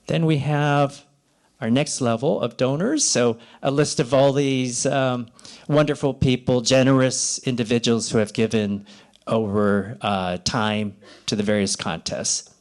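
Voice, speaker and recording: A man in his sixties giving an informal thank-you speech at a ceremony, halting and often rephrasing as he goes. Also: medium pitch, fairly even intonation. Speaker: event organizer giving thanks at an awards ceremony.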